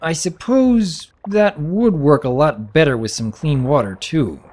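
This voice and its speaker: A man's voice: a little high, lively intonation, unhurried, a little mellow, pitched above the performer's own voice. A man voicing a young pony baron in a storybook, earnest, well-mannered and slightly awkward. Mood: practical, musing.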